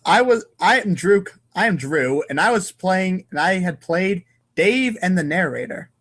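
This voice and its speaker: A man's voice: high voice, lively intonation, measured pace, fairly bright tone. A man narrating an informal comic read-aloud show in a playful, theatrical manner. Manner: playful, theatrical, voices sound effects.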